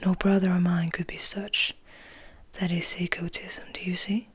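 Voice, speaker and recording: A woman voicing a serene but bitter male vampire in a measured, formal, breathy whisper for a storybook reading. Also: fairly low pitch, level tone. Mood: reflective, somber.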